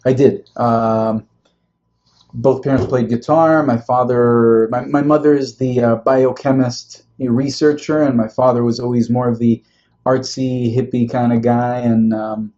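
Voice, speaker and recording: Man, from Israel, interview guest, casual chat, mid-pitched, evenly toned, muffled web stream sound. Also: fairly even intonation, a little slow, mellow. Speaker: guest, touring guitarist.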